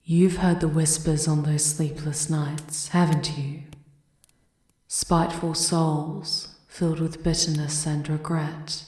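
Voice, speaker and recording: A woman's voice: deep voice, level tone, slow pace, a little mellow. A woman playing a bitter, scornful castle advisor and sorceress in a fantasy ASMR roleplay, speaking formally in an echoing space. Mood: ominous, scornful. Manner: ominous, formal, haughty. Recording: echoey, reverberant room sound.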